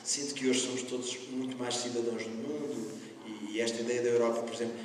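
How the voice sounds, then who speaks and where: A man's voice: medium pitch, flat delivery, fast. A man from Lisbon on a panel, talking earnestly to students and repeating phrases for emphasis. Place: school hall. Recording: echoey hall from far off, noisy.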